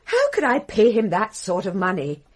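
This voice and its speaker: Posh british wife in a radio mystery, kinda husky, bitter irony, wistful, confessing it all. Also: female voice, mid-range voice, wildly swooping pitch, moderate pace. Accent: British English. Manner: theatrical, bitterly ironic, emotionally swinging. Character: titled English wife confessing murder, brittle, scornful, wistful. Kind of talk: radio drama acting.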